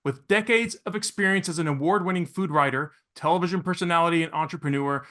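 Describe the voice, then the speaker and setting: A man's voice: on the high side, fast talker, fairly bright tone. A middle-aged man from Venezuela hosting a podcast in a polished, effusive, showman-like way. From Caracas, Venezuela. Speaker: podcast host. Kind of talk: polished scripted introduction, then interviewing.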